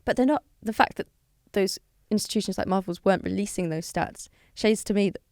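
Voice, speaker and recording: A woman's voice: medium pitch, brisk, no room sound, clean studio sound. A young woman, a podcast guest, chatting casually, swearing freely and getting heated. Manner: animated, swears, gets heated. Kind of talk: casual chat.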